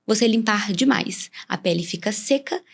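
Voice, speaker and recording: Brazilian woman doctor explaining clearly. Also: medium pitch, expressive, moderate pace. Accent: Brazilian Portuguese. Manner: didactic, clear explanations with simple comparisons. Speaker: ear, nose and throat doctor, guest. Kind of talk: explanatory interview talk.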